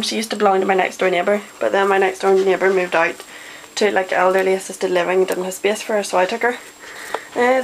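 An eighteen-year-old woman with a Northern Irish accent, chatting casually and self-deprecatingly in her bedroom, with many ums. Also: medium pitch. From Northern Ireland. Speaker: vlogger. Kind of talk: casual vlog chat. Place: small bedroom at home. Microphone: built-in webcam mic. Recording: noisy home webcam recording.

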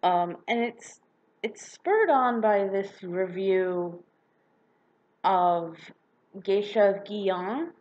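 A young woman, slightly hoarse from a cold, giving her frank, opinionated take in a casual vlog from her living room, recorded on a webcam. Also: mid-range voice, very slow, long pauses, darkish tone, highs cut off. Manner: chatty, opinionated, frank with mild swearing. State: slightly hoarse, getting sick. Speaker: book vlogger. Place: living room with a couch, indoors.

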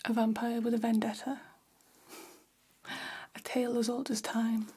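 A woman's voice: fairly high pitch, little pitch movement, a little slow, dark tone, a little hiss or hum, natural voice. A woman whispering as an elegant, gossipy female vampire hairstylist in an ASMR role-play, confiding and soothing, close to the microphone. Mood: hushed, confiding. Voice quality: breathy, whispered.

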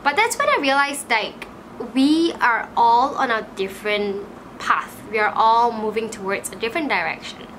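Bright-voiced young Malaysian woman vlogging. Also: a little high, moderate pace, slight background noise, poor audio. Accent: Malaysian English. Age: 20s. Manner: chatty, frequent "like" fillers, animated. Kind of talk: casual storytelling to camera. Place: small room indoors.

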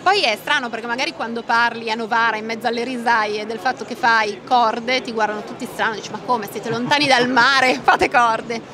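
A woman with a fairly high voice answers interview questions with enthusiasm. She explains things conversationally, with the clear bustle of a trade-fair crowd behind her. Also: fairly even intonation, fairly quick, few pauses. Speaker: interviewed family-company executive. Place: open trade-show stand. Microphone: handheld microphone held by interviewer.

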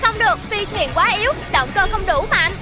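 A woman's voice: very high voice, animated, a little fast, over music. A woman voicing a clever, outspoken little girl in a children's cartoon, earnest and explanatory. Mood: alarmed.

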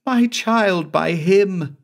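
A man's voice: sits high, extremely slow, gravelly, voice pushed up, full character voice. A man putting on a frantic pleading young woman. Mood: pleading desperately.

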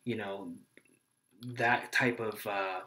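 Relaxed young man rambling about tackle. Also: on the low side, slow pace, poor audio. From Texas. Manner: relaxed, rambling, lots of fillers. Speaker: fishing guide. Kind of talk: casual tutorial to camera. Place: small room indoors. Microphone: webcam at desk.

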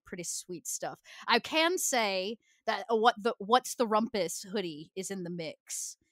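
A woman's voice: mid-range voice, a bit bright, dry recording, clean studio sound. A woman chatting casually and upbeat as a cast member promoting a show.

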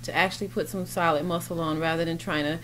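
A woman's voice: deep voice, fairly even intonation, fast, a bit bright. A woman in her forties giving casual, explanatory answers as an interview guest. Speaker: surgeon and competitor, interview guest. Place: indoor backdrop set at a competition venue. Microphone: interviewer's handheld microphone. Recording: noisy event venue ambience.

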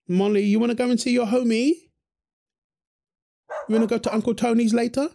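A man's voice: extremely high pitch, flat delivery, fairly quick, gravelly, mellow. A man performing a wholesome audio roleplay as a husband and dad, teasing his partner and cooing fondly at their baby with a playful manner.

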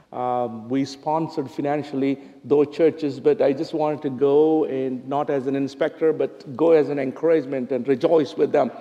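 A middle-aged man with an Indian accent, a minister addressing his congregation in a conversational, halting, earnest way. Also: on the high side, dark tone. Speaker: church minister. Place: large church sanctuary at a pulpit. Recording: reverberant church hall.

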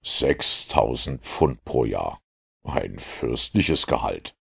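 A man voicing a self-assured master detective who lectures in a measured, superior way in an audiobook. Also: extremely low pitch, expressive, vocal fry, husky, exaggerated voice. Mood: lecturing, assured. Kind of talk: audiobook character dialogue.

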